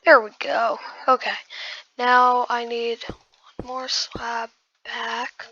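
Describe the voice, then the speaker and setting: A hoarse woman who has just woken up, giving casual gameplay commentary while building and often muttering in half-whispers to herself. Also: fairly high pitch, little pitch movement, takes it slowly, bright, forceful tone, highs cut off. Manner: muttering half-whispered asides to self, addressing viewers as guys. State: hoarse, losing voice after just waking up. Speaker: gaming video creator.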